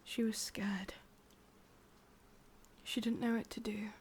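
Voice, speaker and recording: A woman with an English accent voicing a sorrowful, obsessive witch in an intimate ASMR roleplay, speaking softly in halting, confiding whispers. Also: mid-range voice, flat delivery, a little hiss or hum. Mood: grieving. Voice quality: soft, breathy, mostly whispered. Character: sorrowful, obsessive female witch, tender yet menacing.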